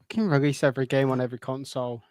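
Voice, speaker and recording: A man chatting casually about films as a podcast co-host, halting and often rephrasing as he goes. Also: mid-range voice, steady tone, darkish tone, no room sound, studio quality. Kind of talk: casual film chat.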